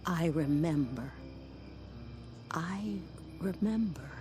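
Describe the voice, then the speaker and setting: A woman's voice: low voice, lively intonation, slow pace, dark tone, faint music behind. An actress playing a frail, wistful elderly woman in a radio drama.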